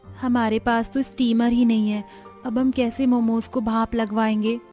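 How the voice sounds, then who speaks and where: Woman acting a high-pitched, earnest cartoon family woman. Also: level tone, unhurried, warm tone, soft background music, close to an everyday voice. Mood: earnest, homely. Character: women of a joint family, dutiful daughters-in-law and mothers-in-law. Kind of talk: dramatic Hindi cartoon story acting.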